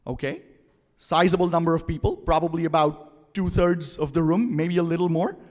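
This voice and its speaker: A man's voice: fairly high pitch, normal speed. Man giving a chatty conference welcome. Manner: relaxed and chatty, fillers, engages the audience. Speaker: nonprofit leader giving opening remarks. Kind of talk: conference opening speech. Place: conference hall. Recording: long hall reverb.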